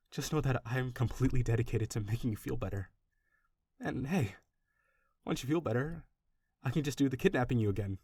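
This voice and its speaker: A man's voice: mid-range voice, a little mellow, no room sound. A man playing an obsessive, doting young stalker in an audio roleplay, talking straight to the listener in an affectionate, rambling, gushing way. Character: obsessive, doting young male stalker, awkwardly gentle kidnapper.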